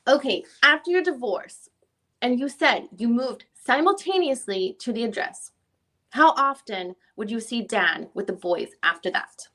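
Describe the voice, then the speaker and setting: A woman acting as a female attorney with a fairly high voice, questioning a witness in a deposition reading. She is conversational and searching, feeling her way through each question and rewording it. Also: lively intonation, a little slow, fairly bright tone. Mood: probing. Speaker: reader playing a deposing attorney. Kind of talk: dramatic reading of a legal deposition.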